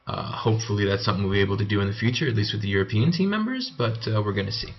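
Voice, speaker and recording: A man's voice: on the low side, expressive, very fast, lo-fi sound. A young Canadian man giving an informal student presentation. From Canada (Montreal). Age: young adult. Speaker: MBA student presenting a team report.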